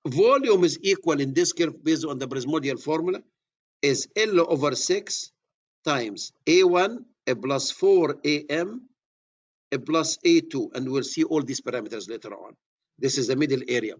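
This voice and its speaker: Middle-aged male engineering instructor, Egyptian accent, slightly higher pitch, husky, dull lo-fi recording. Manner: repeats key words, restarts phrases. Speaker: surveying instructor. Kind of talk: classroom lecture.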